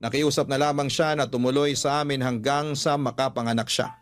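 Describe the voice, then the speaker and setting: A man narrates a personal letter in a radio storytelling style. His voice sits at a middle pitch, with soft music playing faintly behind it. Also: steady tone, a little fast. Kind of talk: scripted narration of a confessional love story.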